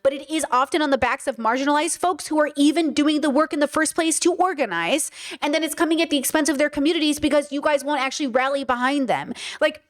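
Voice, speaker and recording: A woman's voice: high-pitched, fast talker, hardly any pauses. A woman with a Californian accent hosting a commentary video, talking emphatically to her viewers and often repeating words as she thinks. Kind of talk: opinionated commentary monologue.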